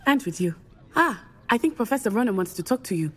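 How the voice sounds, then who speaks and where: A confident, friendly teenage witch in a fantasy game. Her voice is husky and lively in pitch, composed and encouraging in manner. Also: fast talker, heavily acted. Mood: friendly, reassuring. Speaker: student character. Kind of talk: video game voice acting.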